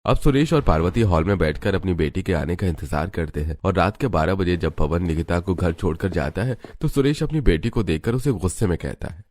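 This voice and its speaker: A man's voice: deep voice, expressive, fairly quick, few pauses, a little mellow, no echo, clean studio sound. A man reading scripted narration for a family story.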